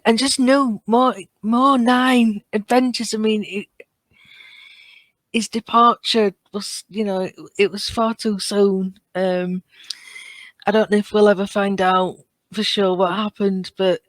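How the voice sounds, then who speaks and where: A woman's voice: mid-range voice, dry recording. A woman chatting casually as a fan on a podcast, earnest and enthusiastic in how she talks. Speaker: podcast guest or co-host.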